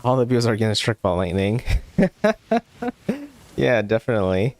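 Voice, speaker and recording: A man's voice: on the low side, normal speed, a little mellow. A man giving casual let's play commentary as a gaming streamer, with game music behind him.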